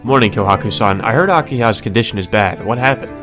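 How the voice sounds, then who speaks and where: Man acting anxious high school boy, gloomy, hesitant, lowish voice, visual novel character, faint music behind. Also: fast talker, lightly acted. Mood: concerned. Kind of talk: visual novel read aloud. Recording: music under the voice.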